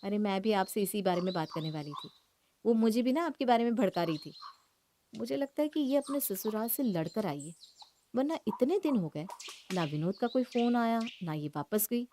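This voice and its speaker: A woman's voice: medium pitch, expressive, moderate pace, mellow, a little hiss or hum, close to an everyday voice. A woman voicing a sensible, slightly gossipy young sister-in-law in a cartoon moral story, confiding and reasoning. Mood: confiding, suspicious.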